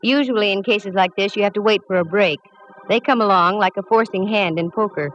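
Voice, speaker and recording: A woman's voice: mid-range voice, soft background music, lightly acted. An actress playing a wisecracking woman private eye who also narrates, dry and hardboiled, from a dull old radio broadcast. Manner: wry, hardboiled, quick with quips. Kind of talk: 1940s radio acting.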